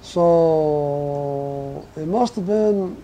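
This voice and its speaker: Older man, from Portugal, interviewee, halting and reflective, high-pitched, very mellow tone. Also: faint music behind. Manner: halting, reflective, slow recall. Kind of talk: oral history reminiscence.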